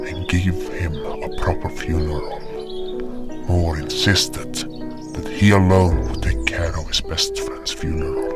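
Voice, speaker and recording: A man reading a scripted first-person story as its narrator, in a solemn, measured manner. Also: low-pitched. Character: solemn animal guardian spirit telling its tale. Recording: music behind the voice. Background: music.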